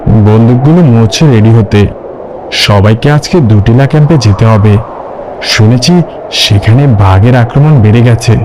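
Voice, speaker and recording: A man's voice: mid-range voice, background noise, lightly acted. A man playing a bold, boastful forest guard with a brash, bossy manner in a horror cartoon.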